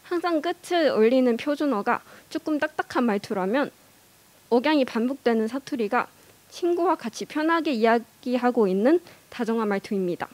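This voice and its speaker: A woman's voice: a little high, lively intonation, moderate pace, darkish tone. A young woman giving a prepared speech in a learned language, careful and earnest, with small hesitations. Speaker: speech contestant.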